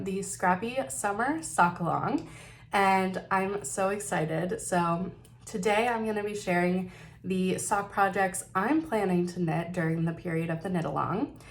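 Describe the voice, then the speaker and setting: A woman's voice: mid-range voice, a little hiss or hum. A woman hosting a knitting video podcast, chatting casually about her projects in a nasal voice because she is getting over a cold, upbeat with some fillers and slips.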